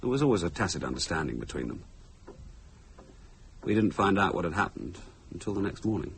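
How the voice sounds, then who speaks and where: Deep british husband in an old radio thriller, wry n morbid, very animated delivery, bit of hiss. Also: male voice, brisk, creaky, exaggerated voice, poor audio. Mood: sombre recollection. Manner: articulate, wry, theatrical. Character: sardonic, teasing young British husband, educated and morbidly playful. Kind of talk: radio thriller drama dialogue.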